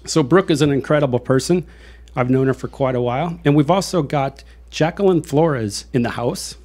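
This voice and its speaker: A man's voice: medium pitch, moderate pace, a little mellow. A man hosting a leadership consulting podcast, chatting casually and introducing his guests. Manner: upbeat, conversational introductions. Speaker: podcast host. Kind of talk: casual interview.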